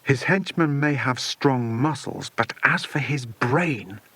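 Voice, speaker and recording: A man narrating a children's adventure audiobook in an expressive scripted reading. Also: mid-range voice, slow, husky, no echo.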